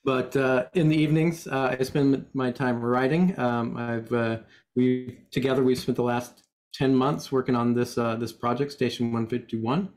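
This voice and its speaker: A middle-aged man speaking casually and haltingly as an interview guest. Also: medium pitch, steady tone, darkish tone. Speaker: guest, engineering manager and writer. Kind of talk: casual self-introduction in interview. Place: indoors on a video call.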